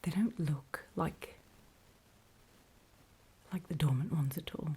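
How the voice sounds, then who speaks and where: Girl playing a paranoid zombie survivor lady, low breathy whisper, soft and dark, creepy asmr roleplay. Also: exaggerated voice. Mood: hushed, wary warning. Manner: slow, hesitant, confiding, with pauses. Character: wary, paranoid female survivor of a zombie apocalypse.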